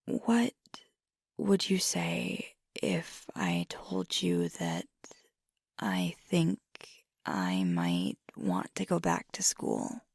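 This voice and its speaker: Casting: woman to play an earnest, ambitious young werewolf girlfriend for ASMR roleplay. Low-leaning gravelly voice, halting, confiding, unhurried delivery. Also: sing-song, dry recording, studio quality, heavily acted. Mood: hesitant, confiding. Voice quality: husky, breathy. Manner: hesitant, soft-spoken, halting confiding. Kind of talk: intimate ASMR roleplay monologue.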